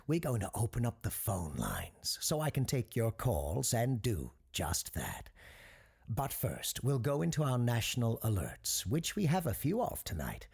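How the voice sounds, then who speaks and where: An actor playing a courteous parapsychologist who hosts a radio call-in show in an audio drama, gravelly, measured and formal. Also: male voice, lowish voice, a little mellow, full character voice. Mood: courteous, showy. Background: music under the voice.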